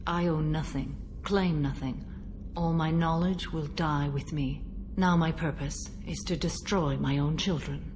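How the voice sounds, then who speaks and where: Solemn, low-voiced alien warrior mother. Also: steady tone, a little mellow. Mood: somber, regretful. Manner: formal, measured, grave delivery. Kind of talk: dramatic video game acting. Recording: clearly noisy game audio, ambience.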